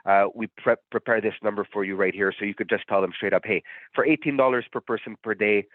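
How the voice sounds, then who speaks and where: A man with a cold, nasal and a little low, talks through a software demo in an easy, explanatory way, full of "uh"s and "you know"s. The sound is dry and close, squeezed into a narrow phone-line band as if on a video call. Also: quick pace, no echo. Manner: relaxed, explanatory, frequent fillers. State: under the weather, nasal. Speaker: booking platform presenter. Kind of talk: live software demo walkthrough.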